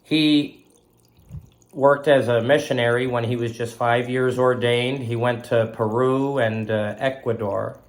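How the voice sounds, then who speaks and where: A man in his thirties from Southern California, hosting a faith broadcast while recovering from illness. He talks slowly and haltingly, with fillers and a slight cough. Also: medium pitch, fairly even intonation. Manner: slow, halting, with frequent fillers and throat clearing. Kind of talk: casual spoken tribute.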